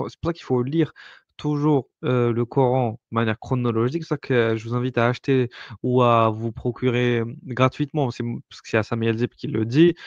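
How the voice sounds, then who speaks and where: A man's voice: mid-range voice, dry recording. A man explaining religious rules in a casual discussion, hesitant, with many fillers and restarts. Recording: dull lo-fi sound, dry.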